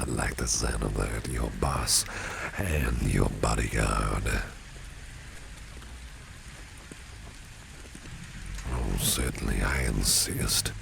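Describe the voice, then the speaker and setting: Man acting as very deep, gravelly, flirtatious boss. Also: full character voice. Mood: protective, gentle. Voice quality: gravelly, breathy near-whisper. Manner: slow, suave, soft-spoken. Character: protective, flirtatious male boss, smooth and courtly. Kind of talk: ASMR roleplay. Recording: steady rain noise behind the voice. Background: rain and street ambience.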